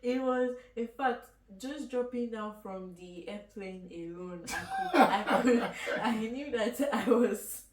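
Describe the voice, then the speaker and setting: A woman's voice: a little high, slow, few pauses. Young Nigerian woman, casual guest chat, laughs a lot, echoey room, distant mic. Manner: laughs often, chatty and animated. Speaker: guest student. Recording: echoey room from far off, faint noise.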